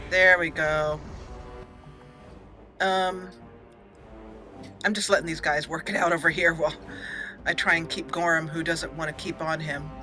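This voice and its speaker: A woman giving casual, excitable commentary while playing a video game, talking to the characters as she goes. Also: on the low side. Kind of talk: casual let's play commentary.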